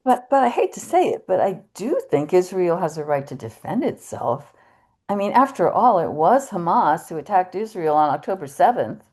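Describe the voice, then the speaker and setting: A woman's voice: on the low side, sing-song, moderate pace, darkish tone. A woman in a readers theater playing a hesitant but skeptical questioner who challenges what she hears. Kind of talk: scripted readers theater dialogue.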